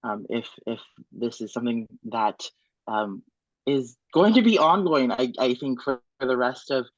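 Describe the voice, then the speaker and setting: A man from the United States, a community organizer on a video panel call, speaking haltingly with many "um"s and restarts. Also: medium pitch, no echo. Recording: muffled dry call audio.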